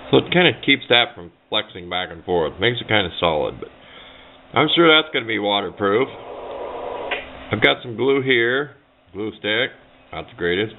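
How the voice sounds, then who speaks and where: Low-voiced man, tinny narrow recording. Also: a little hiss or hum. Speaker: hobbyist demonstrating a homemade build. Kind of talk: casual unscripted how-to talk. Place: indoors at a workbench. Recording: muffled, narrow phone-like camera audio.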